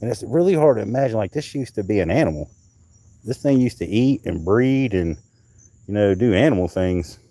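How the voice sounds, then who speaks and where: A man's voice: lowish voice, lively intonation, fairly quick, dark tone, no room sound. A man hosting a live stream, chatting casually and rambling as he shows pieces of coral, often trailing off mid-sentence. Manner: handling and showing coral pieces.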